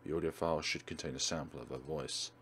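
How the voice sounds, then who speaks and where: Visual novel villain: cold, commanding man, very low-voiced , read by a male player, noise behind. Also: steady tone, fast talker, a bit bright. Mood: cold, menacing. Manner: curt, commanding. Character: menacing man ordering a killing, curt and cold. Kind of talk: reading visual novel dialogue.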